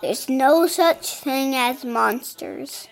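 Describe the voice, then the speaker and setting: A woman's voice: sits high, very slow, creaky, faint music behind, heavily acted, low-quality recording. A woman playing a small, plainspoken boy in a horror audio drama, doubtful and curious, with brief blunt replies. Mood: reluctant, plain.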